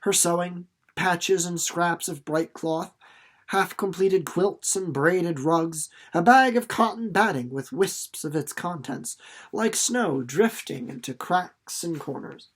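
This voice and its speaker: A narrator reading a children's novel aloud in an expressive, deliberately phrased storytelling way. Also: medium pitch. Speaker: audiobook reader.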